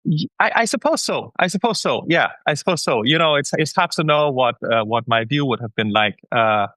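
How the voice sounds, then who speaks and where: A man talks through his answers in a bright, forceful voice, often stopping to restart and repeat his words. The sound is close and dry, with no room around it. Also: mid-range voice, lively intonation, a little fast, dry recording. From Denmark. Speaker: guest scientist. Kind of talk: conversational interview answers.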